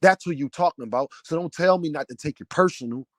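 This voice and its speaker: Loud, heated man ranting with a deep voice. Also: quick pace, dry recording, clean studio sound. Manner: loud, heated, preaching cadence. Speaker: vlogger, channel owner. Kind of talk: passionate rant to camera.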